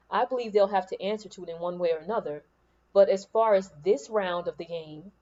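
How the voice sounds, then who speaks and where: Soothing woman's voice, dull laptop sound. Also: medium pitch. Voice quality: soothing, calming voice. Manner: unhurried, reflective, with emphatic repeats. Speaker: podcast host and spiritual coach. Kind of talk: spiritual motivational monologue. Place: room at home with air conditioning on. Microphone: laptop microphone.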